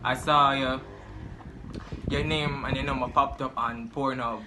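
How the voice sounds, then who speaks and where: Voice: mid-range voice, steady tone, measured pace. A young adult with a Jamaican accent, chatting casually and jokingly with friends during prank calls. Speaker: prank caller. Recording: noisy home recording, ambient noise.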